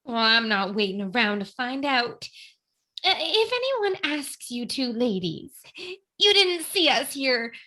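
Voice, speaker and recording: A woman playing a jumpy, cowardly comic character in a radio play, squeaky and exclamatory. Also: big pitch swings, slow pace, sharp tone, full character voice. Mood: nervous. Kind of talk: comic old-time radio play acting.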